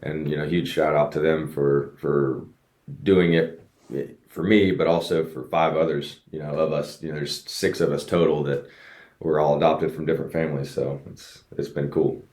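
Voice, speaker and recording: A man's voice: a bass voice, little pitch movement, normal speed, a little mellow. A man raised in the United States, a race car driver, telling his story earnestly as a guest in a casual podcast interview. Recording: roomy echo.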